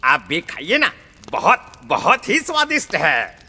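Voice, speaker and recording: A man playing a jumpy, timid village farmer in a horror audio story, excitable and exclaiming. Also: high voice, a little slow, exaggerated voice. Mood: coaxing. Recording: long reverb, faint music.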